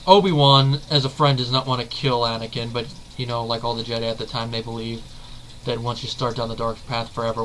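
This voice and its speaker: A man's voice: medium pitch, pushed and bright, slight background noise, low-quality recording. A man hosting a video, giving casual, rambling fan commentary and correcting himself as he goes. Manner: rambling, leans on the filler "basically"; analytical, self-correcting. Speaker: video host.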